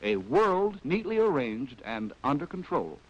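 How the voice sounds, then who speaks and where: Casting: American male narrator for a 1940s documentary, higher-pitched voice with lively swings, wry and arch, vintage soundtrack sound. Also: measured pace, highs cut off. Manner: wry, arch, theatrical phrasing. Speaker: narrator of a military training film.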